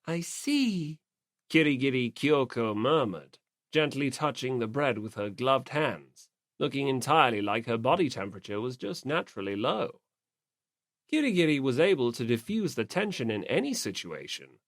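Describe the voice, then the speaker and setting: A woman's voice: rumbling low, lively intonation, normal speed, no room sound, studio quality. A woman reading a story as a scripted audiobook narration.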